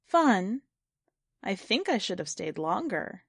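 A woman's voice: medium pitch, very animated, slow pace, creaky, slightly rough, no room sound, clean studio sound, exaggerated voice. A woman voicing a playful, bold teenage girl in a short story reading. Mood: teasing.

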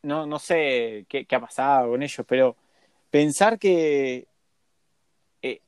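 A man's voice: medium pitch, slow pace, clear, resonant tone, no echo. A man, chatting agreeably and sharing anecdotes in a casual conversation.

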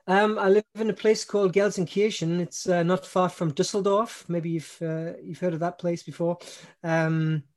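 A man's voice: sits high, moderate pace, hardly any pauses. A man from England, a singer-songwriter guest, chatting casually from home with lots of ums and easy laughter. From Newcastle upon Tyne, England. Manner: relaxed, laughs often, many ums and restarts. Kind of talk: casual interview chat.

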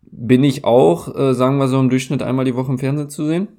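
A man speaking with a German accent, playing a guessing game in casual chat, slow and hesitant, thinking aloud with many fillers. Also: mid-range voice, fairly even intonation, dark tone.

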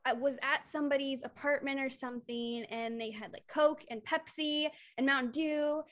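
High-voiced young woman from Iowa. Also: moderate pace. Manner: animated storyteller, acts out quotes. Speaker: podcast co-host. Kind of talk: casual chat.

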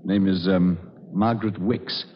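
An actor playing a polite, obliging workplace manager with a hesitant, deferential manner in a 1940s radio drama. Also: male voice, sits low, fairly even intonation, a little slow, over music. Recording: dull, noisy old radio broadcast.

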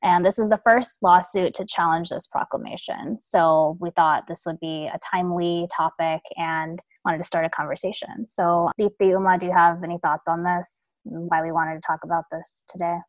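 Slightly low-voiced woman introducing a podcast. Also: steady tone, normal speed, few pauses, no echo. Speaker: podcast co-host. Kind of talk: podcast introduction, semi-scripted.

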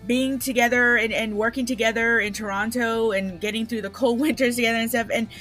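A woman's voice: on the high side, steady tone, fairly quick, fairly bright tone, faint music behind. A woman chatting casually about a TV show, laughing easily and trailing off with fillers. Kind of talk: casual chat about TV and film.

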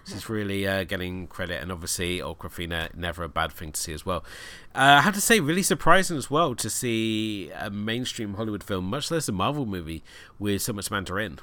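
A man's voice: on the low side, lively intonation, fairly quick, pushed and bright, dry recording. A man talking casually and with enthusiasm about a film, in a conversational review. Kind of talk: casual chat.

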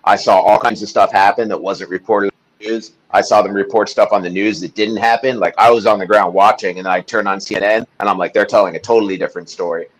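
A man's voice: fairly low pitch, fairly even intonation, fast talker, rough recording. A man, a podcast guest, talking in a casual interview chat, intense and emphatic as he tells his story.

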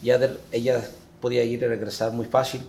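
A middle-aged man from Mexico, recounting his story as an interview guest in a reflective, halting way. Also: mid-range voice, little pitch movement, darkish tone, slight background noise. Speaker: guest, father.

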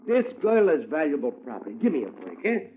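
An actor playing a fast-talking, excitable male talent agent in a 1950s radio drama, using streetwise slang. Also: fairly high pitch, slight background noise. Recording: tinny old radio broadcast.

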